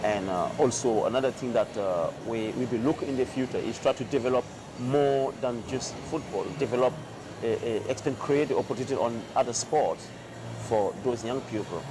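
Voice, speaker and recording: A man's voice: mid-range voice, moderate pace, background noise. A man who organizes youth football, speaking hesitantly with many fillers in an interview. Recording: interview with music underneath.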